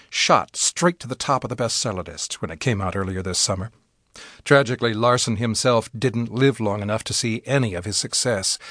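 A man's voice: medium pitch, a bit bright, dry recording, studio quality. A man reading scripted narration as a radio host.

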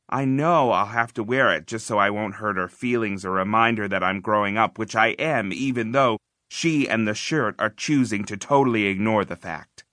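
A man reading a first-person children's novel as an audiobook narrator, expressive, confiding and conversational, with emphatic phrasing. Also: fairly low pitch, dry recording, clean studio sound.

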